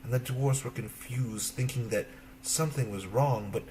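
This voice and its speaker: A man narrating a children's fairy tale as an expressive storyteller, in simple phrases. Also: mid-range voice, normal speed, a little hiss or hum.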